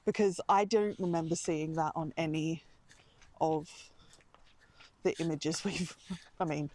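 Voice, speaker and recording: A woman co-hosting a podcast on a road trip, chatting casually with halting, hesitant phrasing. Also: low voice, steady tone, darkish tone. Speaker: podcast co-host. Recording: clear vehicle and outdoor background noise.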